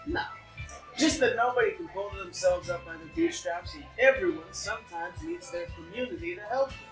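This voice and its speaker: A performer playing a raggedy young male worker in a table reading, plain-spoken, earnest and quietly defiant. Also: mid-range voice, lively intonation, normal speed, lightly acted. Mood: firm. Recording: echoey, distant mic, music behind.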